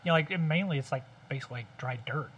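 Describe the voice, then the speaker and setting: Rambling, bright-voiced male co-host. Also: fairly high pitch, fast talker, dull audio, a little hiss or hum. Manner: rambling, hedging with "I mean". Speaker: podcast co-host. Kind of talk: casual chat.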